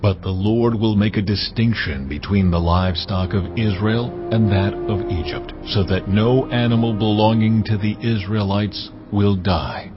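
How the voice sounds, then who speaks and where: A man gives voice to God in an audio drama, a very deep and unhurried commanding voice. The sound is slightly dull, with music swelling underneath. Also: natural voice. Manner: slow, measured, authoritative delivery. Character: God, a solemn commanding divine voice. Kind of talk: dramatized scripture reading.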